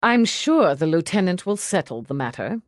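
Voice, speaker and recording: A woman's voice: fairly low pitch, very animated, moderate pace, no echo, studio quality. A woman voicing a proud, stiff male household servant with a formal, restrained manner, in an audiobook. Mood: stiff, reserved.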